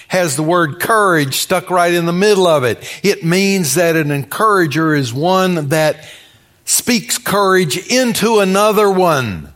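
An American preacher, a man with a fairly high-pitched voice, delivers a sermon in a folksy, conversational style. He tells everyday stories, repeats phrases for emphasis and puts rhetorical questions to his listeners. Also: a bit bright.

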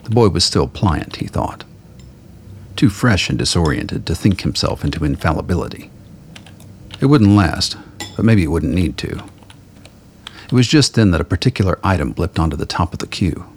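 A man narrating a scripted radio play in a measured, literary storytelling manner. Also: low voice, very animated, slight background noise.

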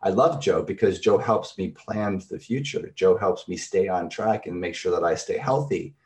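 A man's voice: low voice, expressive, normal speed, a little mellow. A middle-aged man, a therapist, explaining concepts in a thoughtful, conversational way with everyday examples.

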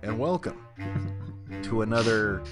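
A man's voice: lowish voice, lively intonation, music in the background. Congested man hosting a podcast. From Arkansas, American South. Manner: playful, jokey, drawn-out delivery. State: congested, sniffly. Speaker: podcast host. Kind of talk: casual trivia podcast chat.

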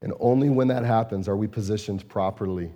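A man with a low, warm voice gives a casual, earnest sermon as a pastor. He restarts phrases and leans on fillers, and his voice sounds a little strained and hoarse. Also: fairly quick. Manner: earnest, repeats words and phrases, frequent fillers. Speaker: lead pastor. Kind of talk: conversational sermon.

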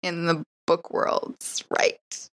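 A woman's voice: lowish voice, little pitch movement, very slow, fairly bright tone. A woman speaking in character as a player in an improvised tabletop role-playing game.

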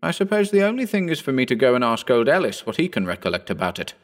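A man voicing an eager, coaxing young gentleman in a raised voice. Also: mid-range voice, sing-song, quick pace, voice pushed up, lightly acted.

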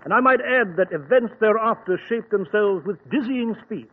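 A blustery doctor sidekick. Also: male voice, high-pitched, lively intonation, moderate pace, breathy edge. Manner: blustery, indignant, hearty. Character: bluff, loyal doctor sidekick, middle-aged man. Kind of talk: 1940s radio acting. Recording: tinny old radio with faint hiss.

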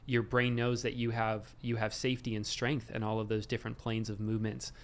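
A man's voice: lowish voice, flat delivery, brisk, a little hiss or hum. A man hosting as a physical therapist, explaining ideas in a thoughtful, casual way with fillers and restarts. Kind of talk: casual interview chat.

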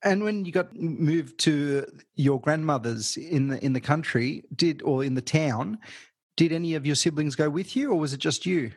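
A man's voice: a little high, normal speed, a little mellow, husky. A man interviewing a guest, curious, asking several rephrased questions in a row.

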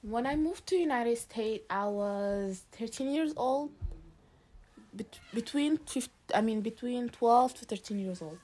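A teenage girl from Lebanon, a high school student answering interview questions in a casual, hesitant way with many fillers and restarts. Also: lively intonation, a little slow, mellow, poor audio. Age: teens.

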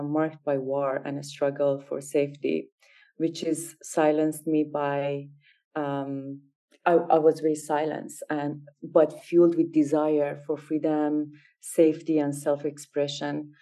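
Woman from Iran, low voice, mellow tone, hesitant, pauses to find words. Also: steady tone. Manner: hesitant, searching for words in a second language. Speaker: guest fashion designer. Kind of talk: interview answers.

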